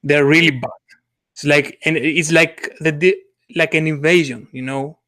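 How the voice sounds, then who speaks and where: An eager man with chats about stories in a fairly high voice. He stumbles and restarts often as his ideas race ahead. The recording is dry and close. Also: fairly even intonation, bright, forceful tone, no echo. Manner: enthusiastic, halting, many restarts and laughs. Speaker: comic artist. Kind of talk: casual podcast chat.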